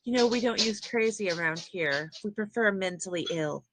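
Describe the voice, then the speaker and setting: A woman's voice: mid-range voice, moderate pace, background noise, natural voice. A woman playing a troubled, bitter and frightened young psychiatric patient in a dramatic table read. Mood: bitter, guarded.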